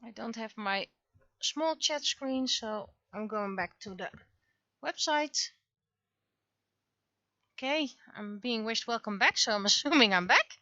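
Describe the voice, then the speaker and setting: A woman livestreamer chatting casually and haltingly, muttering to herself as she thinks aloud, on dull lo-fi audio. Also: on the high side, animated, sharp tone, no room sound. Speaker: livestreamer, webcomic artist.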